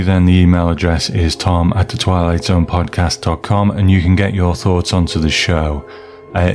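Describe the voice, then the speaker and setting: A man's voice: sits low, darkish tone. A man hosting a podcast, talking casually and chattily to his listeners in an informal monologue. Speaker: podcast host.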